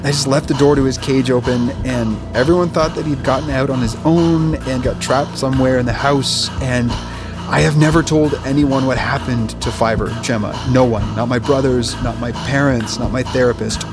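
Actor doing a nervy guilt-ridden young guy in an audio drama, mid voice, halting, music under him. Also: medium pitch, close to an everyday voice. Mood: guilty, confessional. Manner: earnest, halting, agitated. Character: uneasy, guilt-ridden young man in memory experiment. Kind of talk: naturalistic audio drama acting.

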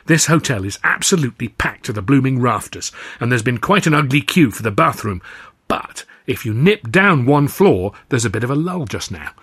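A mischievous young Englishman as acted out by a male narrator, chummy and jaunty. His English-accented voice is a little rough-edged, and he talks in breezy, old-fashioned slang, like a pal in a period story. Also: medium pitch, very animated, normal speed, a bit bright, no echo, clean studio sound. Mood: jaunty, coaxing.

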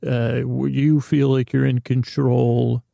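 A man's voice: medium pitch, level tone, slow pace, creaky, mellow, no echo, studio quality. A man hosting a bedtime podcast, talking in a meandering, hesitant monologue that often trails off and circles back. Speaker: host of a bedtime sleep podcast.

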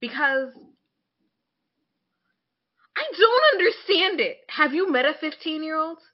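A woman's voice: high-pitched, sing-song, slow pace, dull audio. A young woman co-hosting a podcast in a casual, conversational style, candid and opinionated, often slipping into imagined quotes. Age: young adult. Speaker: podcast co-host.

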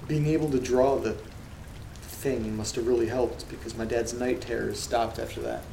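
A man hosting a horror audio drama, reading letters aloud in a quiet, flat, gravelly way. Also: mid-range voice, moderate pace. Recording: roomy echo with loud rain noise. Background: rain and thunder.

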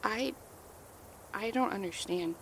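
Actress for a wounded amnesiac wife in a horror audio drama, halting and measured, mid-pitched voice. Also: female voice, vocal fry, slight background noise. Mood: confused. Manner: hesitant, halting, often hushed. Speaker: character.

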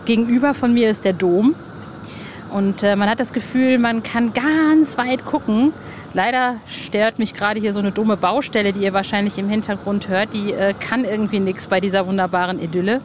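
A woman's voice: mid-range voice, normal speed. Chatty female podcast host, noisy background. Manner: informal, effusive, slangy. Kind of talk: chatty podcast moderation and casual interview.

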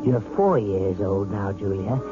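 An actor playing a calm male hypnotist who talks in a soft, measured, persuasive way, in a 1970s radio drama. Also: on the low side. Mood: coaxing. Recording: tinny old radio broadcast with music.

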